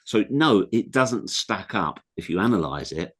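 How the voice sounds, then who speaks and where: Guest pundit: a man, very low voice, earnest, reflective, emphatic. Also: animated, a little slow, a bit bright, slightly rough. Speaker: guest football broadcaster. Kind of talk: casual interview chat.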